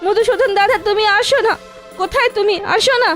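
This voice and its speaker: A woman's voice: very high voice, fairly quick, a bit bright, faint music behind. A woman voicing a small, trusting, timid schoolboy in a children's cartoon, childlike and inquisitive. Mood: terrified, pleading.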